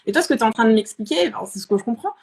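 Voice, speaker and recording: A woman's voice: fairly high pitch, expressive, racing, creaky. A woman hosting a casual live interview, chatting informally and often searching for her words as she phrases questions. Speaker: interview host.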